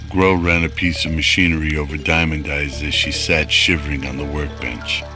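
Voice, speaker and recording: A man reads scripted narration for a radio drama. His voice is exceptionally low, and music plays clearly behind him. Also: level tone, moderate pace.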